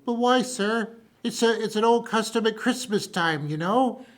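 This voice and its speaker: A man's voice: high voice, normal speed, lightly acted. A man playing a timid, polite young caroler. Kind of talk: 1940s-style radio drama acting.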